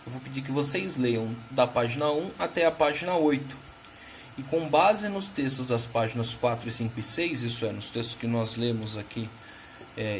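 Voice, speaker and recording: A man's voice: medium pitch, slight background noise. A Brazilian man teaching a recorded history lesson, explaining patiently and often checking in with his students. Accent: Brazilian Portuguese.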